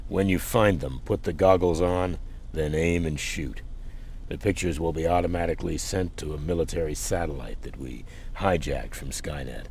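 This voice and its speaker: Very deep-voiced gruff army quartermaster. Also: male voice, moderate pace, a little mellow, slight background noise. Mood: businesslike. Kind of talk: video game voice acting.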